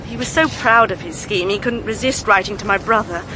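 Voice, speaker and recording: A woman's voice: mid-range voice, fairly quick, background noise, natural voice. An actress playing an earnest, indignant young woman with a British accent and an emphatic, clipped delivery in a radio drama. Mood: earnest, confiding. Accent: British English.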